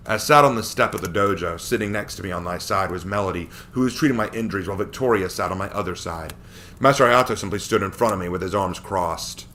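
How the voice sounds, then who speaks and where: A man's voice: low voice, quick pace, pushed and bright, slight background noise. A man reading a dramatic action story aloud as its narrator.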